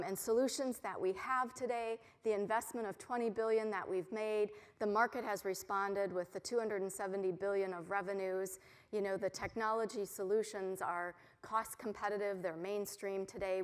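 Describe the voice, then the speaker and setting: A middle-aged woman from the United States gives a conference keynote, sure of herself and upbeat, and she pauses now and then on an um. Her voice has an everyday middle pitch for a woman. It is picked up on a mic and rings with the long reverb of a big conference hall. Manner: confident, upbeat, frequent ums. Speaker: keynote speaker.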